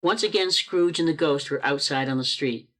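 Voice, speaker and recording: Male storybook-style narrator for a radio play, fairly high pitch, expressive scripted delivery. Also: normal speed. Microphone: video call.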